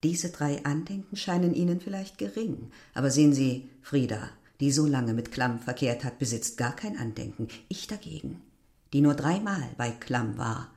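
A woman playing a proud, wistful middle-aged village innkeeper in a literary radio drama, speaking gravely and insistently. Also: very deep voice, moderate pace, darkish tone.

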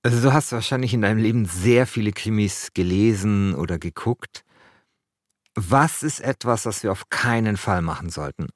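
A man's voice: on the low side, moderate pace, no room sound, clean studio sound. A man hosting a podcast, moving between scripted narration and casual chat. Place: small radio studio. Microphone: studio microphone.

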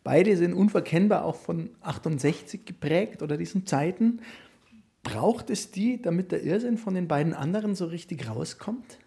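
A man working as a radio interviewer, putting prepared questions in a measured, articulate way. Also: sits high, lively intonation, gravelly, warm tone, husky.

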